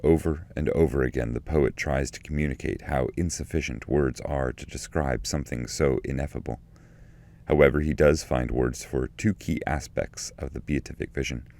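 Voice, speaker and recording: A man reading scripted literary commentary and poetry in a measured, solemn, deliberate way. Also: rumbling low, steady tone, gravelly, a little mellow. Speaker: narrator and translator reading his edition.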